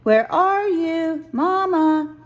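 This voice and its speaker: A woman's voice: very high-pitched, expressive, very slow, voice pushed up, heavily acted. A woman voicing a playful young girl bear cub in a picture book read-aloud, with an eager, childlike manner. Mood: eager calling. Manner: eager, childlike calling and self-reminding.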